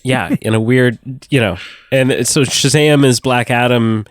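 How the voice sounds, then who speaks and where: A man hosting a casual movie review podcast, sick and sounding nasal, animated and swearing freely. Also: mid-range voice, measured pace, dry recording, studio quality. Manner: animated, swearing, laughing often. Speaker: podcast host.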